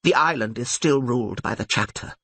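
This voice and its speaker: A man's voice: mid-range voice, a little fast, gravelly, fairly bright tone, dry recording. A man voicing an imperious older female archmage in an audiobook, speaking in formal, proclamatory tones. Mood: commanding. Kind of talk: audiobook character voice.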